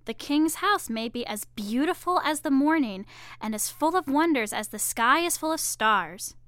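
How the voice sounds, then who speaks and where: A woman's voice: high-pitched, expressive, moderate pace, a bit bright, no echo, pitched above the performer's own voice. A woman reading aloud voices a timid female traveler who refuses to go any farther. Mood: fearful. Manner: fretful, stubborn.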